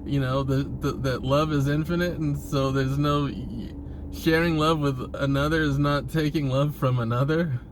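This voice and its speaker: Rambling man with a higher-pitched voice. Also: fairly even intonation, normal speed, background noise. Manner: hesitant, repeats and restarts phrases, often chuckling. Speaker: vlogger posing questions to spiritual teachers. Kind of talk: casual rambling monologue addressed to camera.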